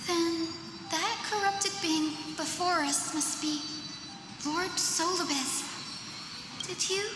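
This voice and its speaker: A woman playing a gentle, earnest young healer in an anime-style game, speaking with compassion and clear words. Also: very high voice, takes it slowly. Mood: tender, solemn. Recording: echoey reverb, game music behind. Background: loud game music.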